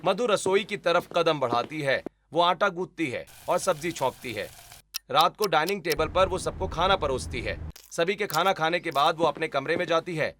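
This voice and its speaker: A man's voice: on the high side, lively intonation, normal speed, a bit bright, a little hiss or hum. A man giving scripted, dramatic narration of a story as its narrator.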